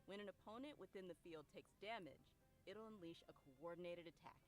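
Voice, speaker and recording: A woman's voice: fairly low pitch, brisk, soft background music. A woman presenter reading scripted promotional narration about game character skills.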